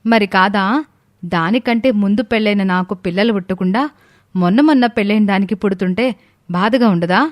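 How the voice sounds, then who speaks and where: A woman's voice: medium pitch, fairly quick, dry recording, natural voice. Woman acting a bitter, jealous sister-in-law. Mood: bitter, sulky. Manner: complaining, sarcastic.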